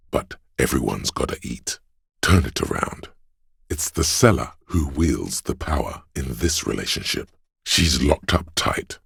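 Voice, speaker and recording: Very deep, husky, sly inner schemer. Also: male voice, no room sound, studio quality, heavily acted. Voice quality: gravelly, husky bass. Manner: insinuating, measured, coaxing. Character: smooth, sly, scheming inner voice of persuasion, male. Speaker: video game voice actor. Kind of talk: scripted second-person game narration.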